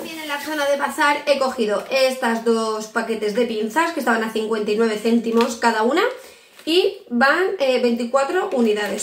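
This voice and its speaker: Young woman chatting thru her shopping haul, high voice, kinda echoey kitchen. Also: a bit bright, slight background noise. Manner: chatty, shows items to camera, frequent restarts. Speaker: home vlogger. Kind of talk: casual haul video to camera. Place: kitchen at home, indoors.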